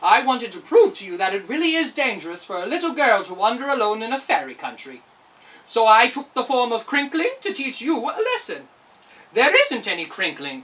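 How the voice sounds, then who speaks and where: A woman voicing a merry, kindly little male wizard who gently lectures in a storybook. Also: on the high side, recorded from a distance, voice pushed up, rough recording.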